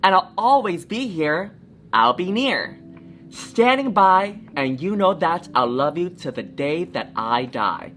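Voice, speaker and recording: A woman's voice: on the low side, sing-song, slow pace, fairly bright tone, slight background noise, full character voice. Lady doing a loving mom from a kids book, tender n reassuring, lilting, takes her time. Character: loving, tender mother singing or speaking to her child.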